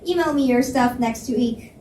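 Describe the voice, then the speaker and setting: A woman reader playing a proud, defensive and easily flustered young college student in a romance novel dialogue reading, with halting conversational delivery. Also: on the high side, natural voice. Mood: brisk, guarded. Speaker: reader at a live book reading. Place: library event space, indoors. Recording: echoey room, distant mic, faint noise.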